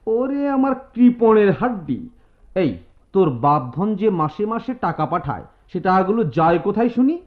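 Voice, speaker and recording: A bossy young friend in a radio play. His high voice jumps around animatedly. It sounds thin and phone-like, with faint music. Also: very animated, normal speed. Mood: teasing scolding. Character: bossy, teasing young male friend, quick to scold. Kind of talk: Bengali radio drama acting.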